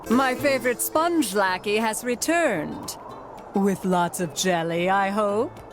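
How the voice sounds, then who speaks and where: A woman's voice: mid-range voice, slow, music in the background, lightly acted. A woman voicing a scheming, grandiose fortune-teller villain in a cartoon game, theatrical, haughty and sly. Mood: sly, coaxing. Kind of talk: animated cartoon game voice acting.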